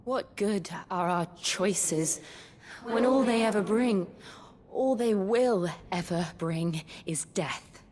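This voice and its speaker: Woman as a slow, sorrowful fantasy figure. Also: mid-range voice, darkish tone, close to an everyday voice. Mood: despairing. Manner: slow, bleak. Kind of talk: dramatic video game voice acting.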